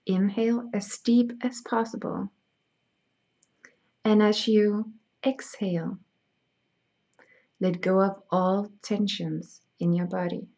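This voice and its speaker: Slow, husky-edged woman, gravelly and soft. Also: medium pitch, little pitch movement. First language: Danish. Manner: soft, slow, soothing, drifting into whispers. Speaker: spiritual healer. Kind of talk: slow guided meditation reading. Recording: dull lo-fi sound.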